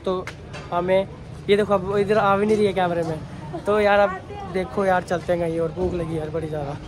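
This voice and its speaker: A young man chatting casually to viewers while filming a vlog on his phone, talking to them as guys and friends. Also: high-pitched. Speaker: vlogger. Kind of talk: casual vlog narration to camera. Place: outdoors in town and in a busy restaurant. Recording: noisy phone vlog with music and crowd. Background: music and crowd chatter.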